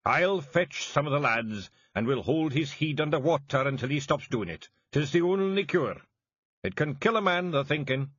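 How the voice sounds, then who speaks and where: A man voicing a big, dim, blunt male clan warrior with a deadpan way of talking. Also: on the high side, moderate pace, husky, no room sound, highs cut off, pitched above the performer's own voice. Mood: wry.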